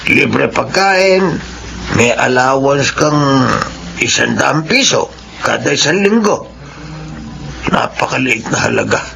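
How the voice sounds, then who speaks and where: An actor playing a fussy, caring old grandfather with a Filipino accent in a radio drama, creaky-voiced and questioning. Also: a little high, exaggerated voice. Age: older. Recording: noisy radio drama recording.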